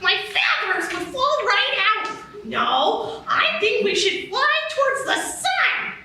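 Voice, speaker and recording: A woman doing a squeaky, piping duck. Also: wildly swooping pitch, pushed and bright, very echoey, recorded from a distance, slight background noise, exaggerated voice. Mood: bossy. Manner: quacks, quick and literal-minded. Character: fussy, quacking female duck. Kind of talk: live radio play acting.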